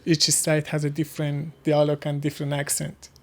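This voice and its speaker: A man from Afghanistan with an Afghan accent and Hazaragi as his first language, answering casually in halting, searching English. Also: a little high, completely flat delivery, darkish tone. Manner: halting, searching for words in non-native English. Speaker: interviewee. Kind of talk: casual interview conversation.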